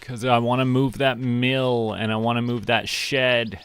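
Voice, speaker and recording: A man from Canada streaming a farming game, talking to himself in a relaxed, muttering way as he plays. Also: mid-range voice, unhurried, smooth. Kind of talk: casual gameplay commentary. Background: game music.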